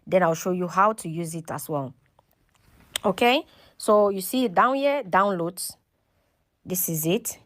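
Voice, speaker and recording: A woman's voice: lowish voice, animated, a little slow, pauses often. A woman hosting a casual step-by-step tutorial, talking instructionally and often checking in with "okay" and "right". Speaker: tutorial host.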